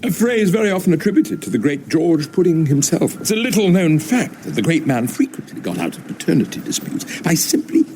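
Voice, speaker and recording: Pompous, theatrical man with swooping pitch. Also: sing-song, brisk, hardly any pauses, background noise. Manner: grandiose, wordy, theatrical. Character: pompous, theatrical, wistful male museum owner. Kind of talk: radio sitcom acting.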